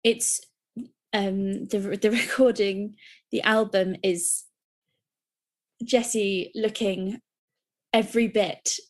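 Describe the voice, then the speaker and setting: A woman's voice: mid-range voice, steady tone, slow pace, pauses often. A young woman, co-hosting a podcast, talking enthusiastically and rambling with restarts. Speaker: podcast co-host. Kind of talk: casual chat.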